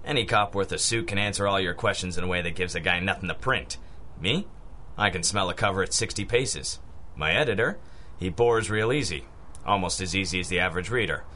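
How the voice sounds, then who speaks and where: A world-weary, slang-slinging crime reporter from a radio mystery. His voice is sharp and somewhat low, delivered gruffly, with faint ambient noise around it. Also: brisk. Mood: cynical, wry. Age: middle-aged or older figure. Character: cynical, slangy veteran male crime reporter. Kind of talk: old-school radio detective acting.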